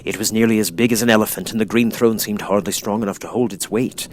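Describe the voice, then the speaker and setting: A man's voice: fairly low pitch, fairly even intonation, quick pace, a little hiss or hum. A man narrating a children's storybook from a script as an audiobook reader.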